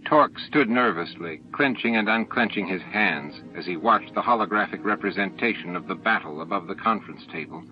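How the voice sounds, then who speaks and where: A man's voice: deep voice, brisk, few pauses. A man reading scripted fiction aloud as a dramatic audiobook narrator. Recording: dull lo-fi old audiobook tape. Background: music and added sound effects.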